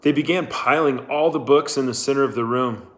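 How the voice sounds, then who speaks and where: A man reading a story aloud as a scripted narration, measured and deliberate. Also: mid-range voice, slight background noise. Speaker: audiobook reader.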